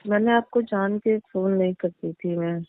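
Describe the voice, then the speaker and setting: A woman playing a shy young daughter-in-law who speaks in a hesitant, halting, conversational way in a staged phone-call drama. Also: mid-range voice, no echo, lightly acted. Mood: shy, evasive. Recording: muffled narrow-band phone-line sound.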